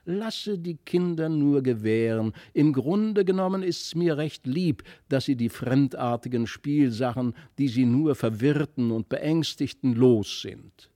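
A male narrator plays a country gentleman and father from a storybook. He is tolerant and content, mellow in tone, recorded dry. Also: mid-range voice, animated, measured pace, hardly any pauses, no echo, lightly acted. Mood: easygoing, content.

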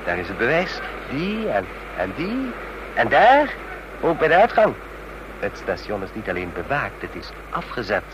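An actor in a Dutch radio drama playing a chatty, smug train passenger obsessed with spy stories, talking in a knowing, needling way. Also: male voice, huge pitch swings, moderate pace, muffled recording. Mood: excited, observant. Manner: talkative, knowing, needling with rhetorical questions. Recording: noisy radio play with vehicle rumble.

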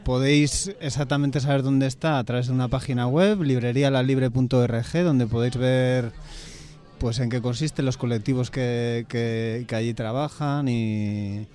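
A man with an accent from Spain answering informally in a radio interview as a member of a bookshop collective, halting and repeating words as he explains. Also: mid-range voice, fairly even intonation, warm tone, soft background music.

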